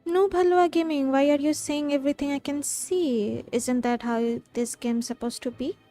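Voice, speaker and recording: A woman's voice: high voice, fairly even intonation, moderate pace, dark tone, soft background music. A woman game streamer from India, talking casually and reading game dialogue aloud as she plays.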